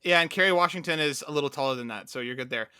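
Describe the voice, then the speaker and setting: A man's voice: fairly high pitch, rapid-fire, bright, forceful tone, clear, resonant tone, no room sound, clean studio sound. A young man co-hosting a podcast, playful and full of questions in casual chat. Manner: playful, keeps asking questions. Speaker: podcast co-host.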